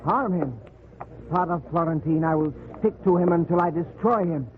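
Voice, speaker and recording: Man acting a high-pitched, hot-headed trader, old radio. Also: moderate pace, narrow, tinny audio, natural voice. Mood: bitter. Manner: impatient, declamatory, barking orders. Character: hot-headed, vengeful Spanish colonial trader. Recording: noisy, thin 1940s radio recording.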